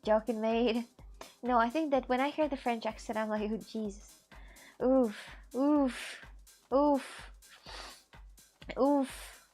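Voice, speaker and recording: A woman's voice: on the high side, slow pace, pauses often, soft background music. Chatty Russian-born woman streamer. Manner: animated, chatty, repeats herself. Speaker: live streamer. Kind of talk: casual live-stream chat.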